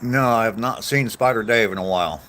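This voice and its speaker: A man's voice: on the low side, a little fast, fairly bright tone, slight background noise. A man in his sixties chatting casually on a walk, answering viewer comments in a meandering, self-deprecating way. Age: 60s. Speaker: vlogger reading live chat on a walk. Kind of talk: casual rambling chat. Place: outdoors on a walk.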